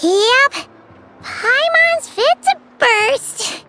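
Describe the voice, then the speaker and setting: Game sidekick: tiny excitable girl creature, extremely high squeak, drawn-out delivery, clear music behind. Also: full character voice. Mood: excited. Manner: squeaky, refers to herself in third person. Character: tiny, childlike, excitable floating companion girl. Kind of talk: video game cartoon-like acting.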